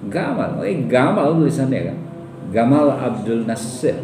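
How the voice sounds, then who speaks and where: Animated Sumatran Indonesian man lecturing, echoey room. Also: medium pitch, normal speed, over music. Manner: conversational, animated, rhetorical questions. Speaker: university lecturer. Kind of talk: classroom lecture with questions to students. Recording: roomy echo, distant mic, faint background noise.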